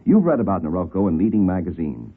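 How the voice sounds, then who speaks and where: Over a tinny old radio line comes an American male announcer with a husky edge. He delivers scripted ad copy in a polished, emphatic 1950s style, with a very animated pitch. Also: fast talker. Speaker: commercial announcer. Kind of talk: 1950s radio advertising read.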